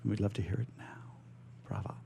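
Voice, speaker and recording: A man's voice: fast talker, warm tone. A man hosting a radio show in a casual, live style.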